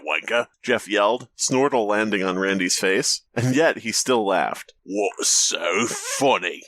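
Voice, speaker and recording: A man's voice: on the low side, fairly bright tone, no room sound. A man co-hosting a comedy podcast, reading a story aloud with dramatic turns and joking between lines. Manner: reads dramatically, breaks into laughter and jokes. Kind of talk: casual comedic reading and chat.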